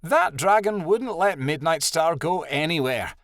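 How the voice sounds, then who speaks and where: A man's voice: a little high, animated, unhurried, fairly bright tone, no room sound, studio quality, voice pushed up. A man voicing a grumpy, scornful female animal in a storybook, snappish and grumbling. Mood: dismissive.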